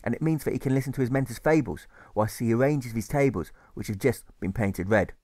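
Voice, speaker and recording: Male audiobook narrator: mid pitch, measured rhythmic verse reading, rhymes stressed, dry. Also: darkish tone, no room sound. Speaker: author reading his audiobook. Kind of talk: rhyming scripted narration.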